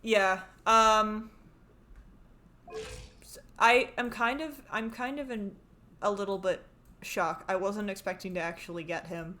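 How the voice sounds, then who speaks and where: A woman from Canada, chatting excitably and rambling while she live-streams a game. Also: mid-range voice, measured pace, pauses often.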